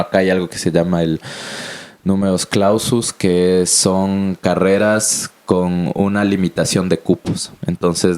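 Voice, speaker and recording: A young man from Quito with an Ecuadorian accent, co-hosting a podcast in a rambling, chatty way that keeps correcting itself. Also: deep voice. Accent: Ecuadorian Spanish, from Quito. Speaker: podcast co-host. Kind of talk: casual chat.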